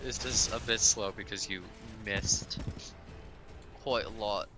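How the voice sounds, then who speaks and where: A man's voice: fairly low pitch, fairly even intonation, slow pace, music in the background. A man co-commentating casually on a live speedrun, with wry, joking banter. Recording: clear background noise, game ambience.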